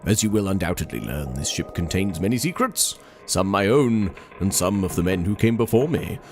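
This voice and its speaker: A man's voice: sits low, lively intonation, moderate pace, faint music behind. A man reading as a solemn old sea captain. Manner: solemn, formal letter reading. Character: 18th-century sea captain writing to his daughter.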